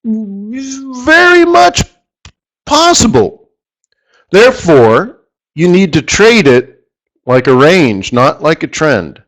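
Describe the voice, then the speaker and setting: A man teaching a live trading lesson who keeps clearing his throat as his voice gives out. He rambles, corrects himself as he goes and repeats a point for emphasis. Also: high voice, sing-song, slow pace, long pauses, a bit bright, rough recording. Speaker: forex trading instructor. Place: studio or office, live stream.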